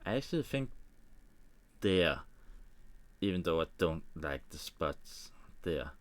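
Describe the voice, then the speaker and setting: A man from Denmark with a Danish accent and Danish as his first language, thinking aloud in halting English with small grammar slips as he walks through editing a photo on a live stream. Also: deep voice, big pitch swings. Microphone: desk microphone.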